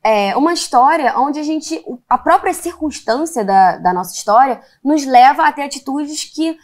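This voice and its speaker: Woman, Carioca accent, fairly high voice, thoughtful, hesitant, casual interview. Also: expressive, moderate pace, a little mellow. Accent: Carioca Brazilian Portuguese. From Rio de Janeiro, Brazil. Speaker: actress interviewee.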